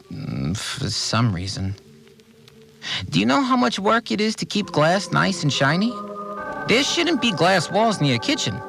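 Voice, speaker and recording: An actor playing a fussy, irritable cleaner who keeps a blunt, complaining first-person audio diary in an audio drama. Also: male voice, mid-range voice, vocal fry. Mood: uneasy, then annoyed. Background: music.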